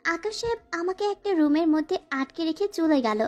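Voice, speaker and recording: A woman's voice: extremely high pitch, animated, brisk, a little mellow, dull audio. A woman playing a frightened, pleading young student bride in a dramatized first-person romantic audio story. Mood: sad, confiding. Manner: plaintive, pleading.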